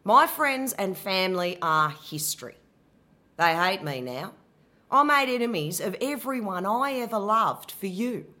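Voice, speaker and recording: A bitter, betrayed queen, voiced by a woman in her thirties spits sarcasm, dropping to a low mutter and then flaring up loud. The tone is bright and sharp, and the delivery is slow and weighted. It has the feel of a stage monologue performed for an audition. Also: lively intonation. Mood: sarcastic. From Australia. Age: 30s. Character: betrayed furious queen, bitter abandoned wife. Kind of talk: dramatic monologue, audition piece.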